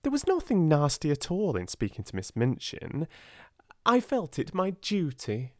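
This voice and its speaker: A man's voice: a little high, huge pitch swings, unhurried, a little mellow, no echo, clean studio sound, voice pushed up. A man with a British accent voicing a priggish, spiteful schoolgirl in an audiobook, snappish and self-righteous.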